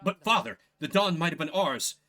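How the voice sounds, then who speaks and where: A man's voice: a little high, lively intonation, moderate pace, pushed and bright. A man voicing an earnest, dutiful young son in a fantasy game, speaking formally and with intensity. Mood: anguished.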